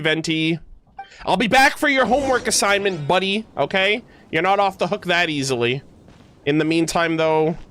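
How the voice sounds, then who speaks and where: A man streaming a game with a fairly high voice. He reacts casually in slang and talks to his chat. Game music plays softly behind him. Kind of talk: casual live reaction commentary.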